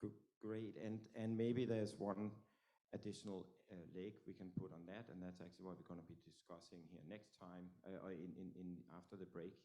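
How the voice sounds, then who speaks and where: Deep, mellow-voiced male moderator, halting delivery. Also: little pitch movement. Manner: halting, many restarts. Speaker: panel moderator. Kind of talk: panel discussion.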